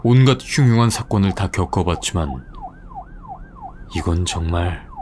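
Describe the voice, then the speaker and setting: A man doing a gruff, weary veteran detective. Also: low voice, expressive, measured pace, noisy background. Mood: grim, appalled.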